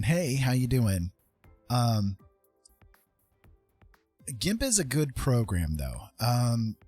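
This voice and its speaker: Deep husky-voiced man in his fifties, chatting. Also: no room sound. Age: 50s. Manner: friendly, rambling, thinking aloud. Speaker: art livestream host. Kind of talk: casual livestream chat while drawing.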